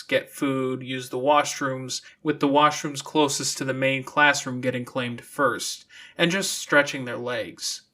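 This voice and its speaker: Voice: mid-range voice, a bit bright. An audiobook narrator reading scripted fiction in a storytelling style.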